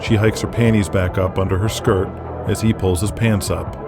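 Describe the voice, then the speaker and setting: A man narrates a scripted drama in the present tense. His voice sits on the low side, and music runs clearly behind him throughout. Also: fairly even intonation, normal speed. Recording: music bed under the voice.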